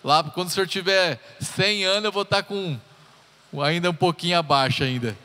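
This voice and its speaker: Pastor, man in his thirties, relaxed and joking from the pulpit; slightly high voice, live hall reverb. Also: moderate pace. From Brazil. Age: 30s. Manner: chatty and joking, talks straight to the congregation. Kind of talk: sermon introduction, personal stories. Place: church hall.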